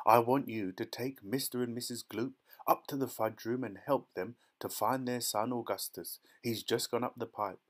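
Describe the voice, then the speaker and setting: A man's voice: medium pitch, steady tone, normal speed, few pauses, close to an everyday voice. A man voicing an eccentric, gleeful chocolate maker in a children's storybook, breezy, emphatic and theatrically reassuring. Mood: brisk ordering.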